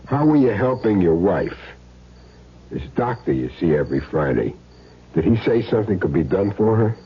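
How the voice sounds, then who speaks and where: An American actor playing a cool, menacing hired hitman in a 1970s radio drama, with clipped, sardonic, deliberate delivery. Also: sits low, sing-song, slightly rough, full character voice. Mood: cold, questioning. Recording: muffled, hissy 1970s radio broadcast recording.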